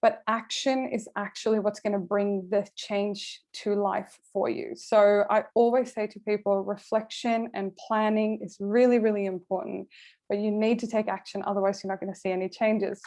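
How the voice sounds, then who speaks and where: A middle-aged career coach from Sweden speaks, warm and direct as she leads an online masterclass. Her voice sits at an ordinary middle pitch for a woman, recorded on a computer mic over a video call. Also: level tone, normal speed.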